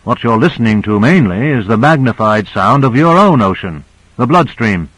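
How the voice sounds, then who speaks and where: A man's voice: medium pitch, lively intonation, normal speed, fairly bright tone, breathy edge, dry recording, rough recording. A man voicing a knowing, assured male scientist who explains things in an audiobook. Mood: explaining, intrigued.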